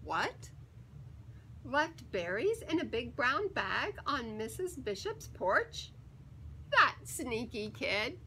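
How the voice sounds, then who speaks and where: A woman storyteller with a high, bright voice reads a picture book to young children. She takes her time, leaning on key words and pausing for dramatic effect. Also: slight background noise. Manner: theatrical, emphatic, with dramatic pauses. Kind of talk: expressive read-aloud for young children.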